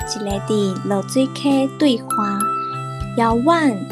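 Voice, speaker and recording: A woman's voice: a little high, slow pace. A woman with a Taiwanese accent reading a children's picture book aloud from a script. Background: loud tinkling children's music.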